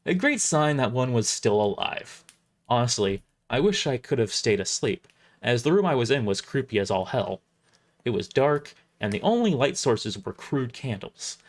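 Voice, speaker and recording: Male livestream storyteller, mid-pitched, highly animated read of scripted narration. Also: moderate pace.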